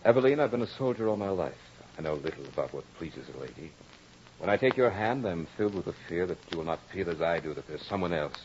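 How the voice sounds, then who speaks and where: An actor playing a brusque, melancholy French lord and former soldier, in a formal, theatrical 1940s radio drama style. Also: male voice, sits low, lively intonation, fast, a little mellow. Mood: tender, halting. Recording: dull, noisy old radio recording.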